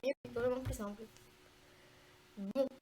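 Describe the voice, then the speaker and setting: A congested woman with a cold talks through a video game, her voice sitting a bit high. She chats casually and hesitates often, filling pauses as she plays along. A faint hiss runs underneath. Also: fairly even intonation, dark tone, low-quality recording. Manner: chatty, hesitant, frequent filler pauses. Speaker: gaming video creator. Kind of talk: casual gameplay tutorial commentary.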